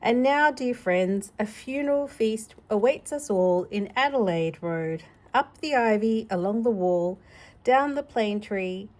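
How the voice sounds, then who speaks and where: A woman's voice: mid-range voice, animated, a little slow, darkish tone, natural voice. Woman as solemn, theatrical storybook cat mourners. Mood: ceremonious.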